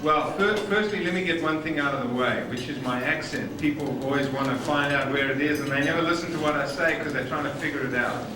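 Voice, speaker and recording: A man's voice: fairly high pitch, brisk, few pauses, background noise. A man with a South African accent giving a conference presentation, stressing key words emphatically, recorded from afar in a large hall. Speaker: conference speaker. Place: conference hall with dining noise. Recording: echoey hall from far off on old videotape.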